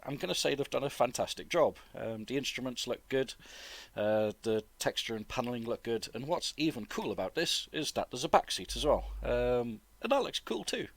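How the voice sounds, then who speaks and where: A man's voice: medium pitch, normal speed, creaky, dry recording. Chesty man rambling through casual game commentary. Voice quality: slightly chesty from a cold. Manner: rambling, relaxed, informal. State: getting over flu, chesty cough. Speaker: gaming video host. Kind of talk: casual flight simulator gameplay commentary. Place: dry close-miked voice-over indoors.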